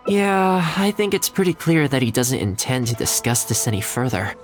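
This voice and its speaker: A man's voice: mid-range voice, very animated, moderate pace, faint music behind, lightly acted. A man playing a friendly, patient young retainer in a video game, speaking in an easygoing, conciliatory way. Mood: resigned. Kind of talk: video game character acting.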